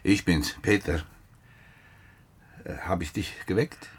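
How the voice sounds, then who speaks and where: An actor playing a grumpy, sceptical older police inspector in a radio drama, talking in a curt, grumbling way. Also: male voice, sits low, slow pace, husky, heavily acted. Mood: grumpy, reluctant.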